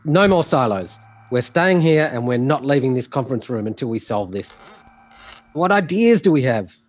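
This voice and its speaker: Man playing a blustering CEO, wildly varied pitch. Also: lively intonation, normal speed, natural voice. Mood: exasperated. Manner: blustering, impatient. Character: exasperated, blustering male company chief executive. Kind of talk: comic musical audio drama acting.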